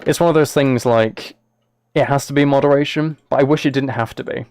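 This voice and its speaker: Male streamer, mid-pitched, casual and chatty, talking to viewers while playing, very dry recording. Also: steady tone, brisk, darkish tone, dry recording. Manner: chatty, thinking aloud as he plays. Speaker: live streamer. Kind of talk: casual live game stream chat, replying to viewers.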